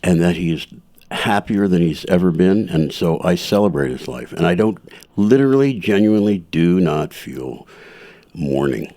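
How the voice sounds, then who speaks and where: A middle-aged man from New Jersey, a podcast guest, talking calmly and haltingly about his family. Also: deep voice. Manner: calm, halting, with pauses and fillers. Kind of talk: interview conversation.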